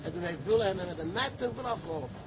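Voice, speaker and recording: Experienced seaman: high voice, matter-of-fact, knowing, very noisy deck scene. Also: animated, racing. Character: seasoned, plain-spoken sailor chatting with the mate. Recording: loud ship and engine ambience.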